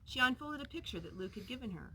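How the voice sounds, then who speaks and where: A woman reading a children's story aloud in an expressive way, putting questions to her young listeners. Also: high voice, fast talker, a little hiss or hum, low-quality recording.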